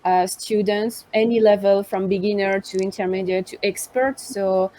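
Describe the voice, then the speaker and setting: A young woman from northern France speaks with a French accent and a warm, mellow tone. She chats casually over a video call, with plenty of fillers, and sits at an ordinary middle pitch. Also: steady tone, moderate pace, low-quality recording. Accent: French, Normandy. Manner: chatty, self-introducing with fillers. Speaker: interviewee. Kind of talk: casual online interview.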